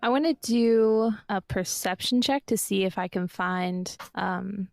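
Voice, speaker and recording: A woman with a medium-pitched voice chats casually as a player in a tabletop role-play game. She improvises as she goes. The sound is dry, with faint music underneath. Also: a little slow, vocal fry, dry recording.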